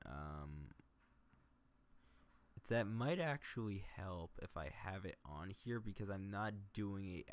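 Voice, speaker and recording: A man talking casually on a livestream, explaining his editing as he goes and muttering to himself between explanations. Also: deep voice. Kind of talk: casual livestream tutorial, thinking aloud while editing.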